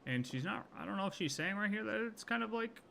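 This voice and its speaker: A man's voice: high-pitched, animated, fast, gravelly, pushed and bright, a little hiss or hum. A man streaming a game with casual live reaction commentary, informally thinking aloud.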